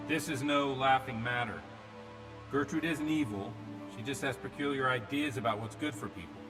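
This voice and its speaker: A man's voice: mid-range voice, moderate pace, lightly acted. Man acting earnest priest in long reverb. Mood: disapproving. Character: earnest, fretful, paternal village priest. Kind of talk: dramatic video game acting. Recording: long hall-like reverb under music.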